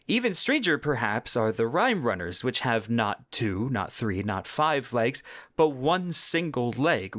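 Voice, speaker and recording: A man's voice: medium pitch, fairly bright tone, no echo. Man narrating a podcast, very dry sound. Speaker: podcast host. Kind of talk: explanatory science fiction podcast narration.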